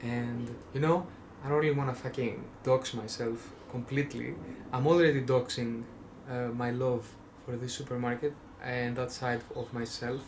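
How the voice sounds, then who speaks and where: A man's voice: mid-range voice, steady tone, normal speed, few pauses, darkish tone, a little hiss or hum. A young man from Greece with a Greek accent, rambling casually in a vlog, full of fillers and self-restarts with wry jokes. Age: young adult. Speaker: vlogger.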